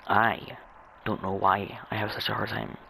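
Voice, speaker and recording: A young man with a low, gravelly voice talks casually as he plays a game. He rambles in a halting way, often stammering and repeating words. A faint noise sits under his voice on what sounds like a home microphone. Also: level tone, pushed and bright. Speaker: gamer narrating own gameplay. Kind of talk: rambling casual chat over gameplay.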